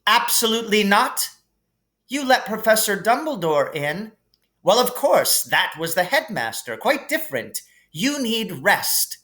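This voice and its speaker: Man as brisk, strict nurse with lifted pitch. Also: sing-song, pushed and bright, heavily acted. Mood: firm, relenting. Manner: firm, brisk. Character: strict but kindly female school nurse, brisk.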